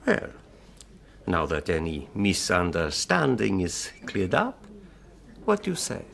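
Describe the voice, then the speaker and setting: A man's voice: low-pitched, huge pitch swings, takes it slowly, creaky, fairly bright tone, heavily acted. An actor playing a wary, stiffly formal European businessman in a radio drama. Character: formal, suspicious European businessman seeking a secret deal.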